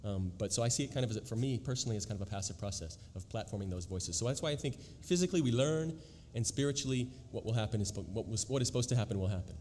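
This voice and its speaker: A man, a researcher of language newspapers, answering on a panel in a quick, reflective way with many restarts. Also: fairly low pitch, animated, a little mellow. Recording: long hall reverb, faint noise.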